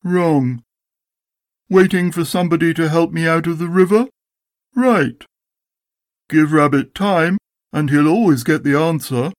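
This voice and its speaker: A storybook reader voicing a gloomy, dignified male donkey with a deadpan, ponderous, sarcastic manner. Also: mid-range voice, a little slow, long pauses, no room sound, clean studio sound, pitched above the performer's own voice, close to an everyday voice. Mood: dry sarcasm. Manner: deadpan, ponderous, coldly sardonic. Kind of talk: storybook character voice.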